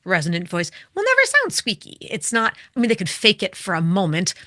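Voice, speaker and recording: A woman and public speaking expert, explaining with animated vocal demonstrations and questions in conversation. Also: medium pitch, a little fast, dry recording, clean studio sound.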